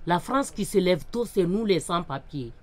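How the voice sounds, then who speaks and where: Woman, fairly low voice, emphatic, plainspoken testimony. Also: lively intonation, normal speed, very noisy.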